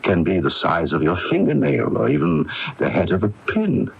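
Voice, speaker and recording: An actor playing a thoughtful, methodical doctor with a gravelly voice, explaining carefully and haltingly in a 1980s radio drama. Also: male voice, low voice, huge pitch swings, exaggerated voice. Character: thoughtful, methodical male doctor piecing clues together. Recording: muffled 1980s AM radio broadcast tape.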